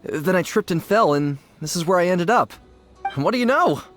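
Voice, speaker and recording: An actor playing a cowardly, scatterbrained young gang member in a video game, talking in a rambling, slangy way. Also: male voice, high-pitched, lively intonation, moderate pace, a bit bright, soft background music. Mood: nervous relief. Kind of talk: animated video game voice acting.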